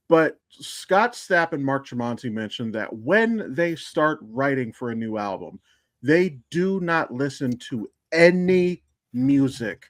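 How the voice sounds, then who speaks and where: A man's voice: medium pitch, no room sound, studio quality. A man hosting a casual podcast, giving his opinions bluntly and speaking straight to the listeners. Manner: blunt, sweary, addresses listeners directly. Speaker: podcast host.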